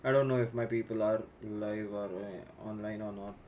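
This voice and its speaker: A man's voice: lowish voice, fairly even intonation, normal speed, a little mellow, a little hiss or hum. A man with an Indian accent chatting casually as a livestream host, mixing Hindi and English and swearing freely. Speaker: streamer hosting an esports watch party.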